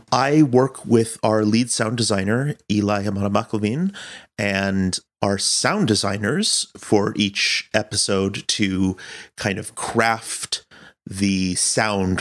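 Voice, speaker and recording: A man's voice: fairly low pitch, slow. An American man answering interview questions as a director, explaining his work in a reflective, conversational way. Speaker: director and producer being interviewed. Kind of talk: casual interview.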